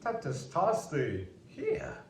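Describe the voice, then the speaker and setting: A man's voice: a little high, huge pitch swings, slow, echoey room, distant mic, a little hiss or hum. A man performing theatrical poetry readings in celebrity and character impressions, with exaggerated, dramatic delivery.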